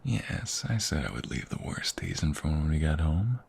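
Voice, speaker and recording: A man playing a protective, flirtatious ex-mobster husband in an intimate ASMR roleplay, tender but menacing, with breathy murmurs and a teasing manner. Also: sing-song, moderate pace, darkish tone, slight background noise, full character voice. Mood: flirtatious, fond. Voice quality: deep, gravelly, often breathy murmur. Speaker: voice actor.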